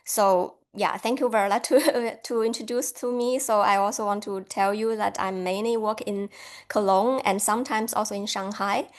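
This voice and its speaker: A woman's voice: mid-range voice, fairly even intonation, normal speed. A woman from China giving a friendly academic lecture over a video call, laughing lightly now and then.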